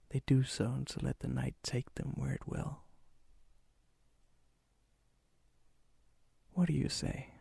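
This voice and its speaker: A man playing a lonely, gently teasing vampire in an ASMR roleplay, with a soft, breathy voice that often drops into a whisper. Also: mid-range voice, dry recording, heavily acted. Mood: teasing, inviting. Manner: slow, intimate, hesitant, gently teasing.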